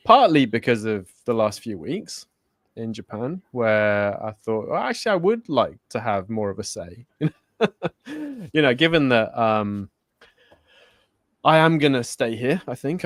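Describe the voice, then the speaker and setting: A man co-hosting a relaxed talk show, chatting loosely and breaking into laughter. He often trips over his words and restarts them. His mid-range voice sounds dry and close to the mic. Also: mid-range voice, no echo. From born in Germany, raised in Spain. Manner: laughs often, self-correcting. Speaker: co-host. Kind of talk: casual chat.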